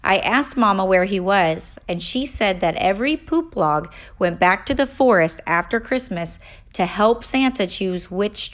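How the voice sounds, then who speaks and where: Woman, children's storybook narrator, unhurried pace, mid-range voice, expressive read-aloud delivery. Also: mid-range voice. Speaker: storybook reader for young children.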